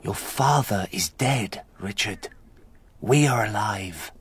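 Slow-spoken, loyal medieval knight. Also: male voice, lowish voice, animated, noisy background. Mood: urging, earnest. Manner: earnest, measured, urging. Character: Robert, loyal, earnest knight and royal companion. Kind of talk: historical radio drama acting.

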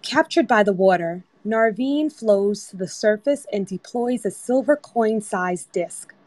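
A woman's voice: medium pitch, expressive, a little slow, darkish tone, no echo. Woman narrating a screenplay, dry sound. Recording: online group voice-chat app.

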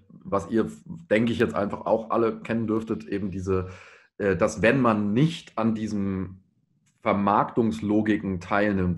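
A man in his thirties speaking reflectively in a panel discussion, tentative, in long winding sentences. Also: fairly low pitch. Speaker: pop musician on a discussion panel.

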